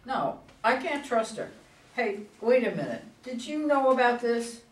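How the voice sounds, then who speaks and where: A woman's voice: fairly high pitch, sing-song, unhurried. Echoey far-off play reading, actress doing older lady politician, proud, indignant, ready for a fight. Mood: bitter. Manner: indignant, emphatic. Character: combative, proud older woman politician, recovering alcoholic. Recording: echoey room, far from mic.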